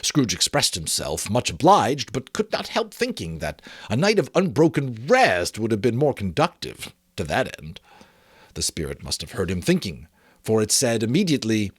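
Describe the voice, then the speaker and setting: A man narrating a storybook in a theatrical, expressive way. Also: fairly low pitch, normal speed, breathy edge, no echo, studio quality. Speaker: amateur audiobook narrator. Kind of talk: dramatic storybook narration with casual asides.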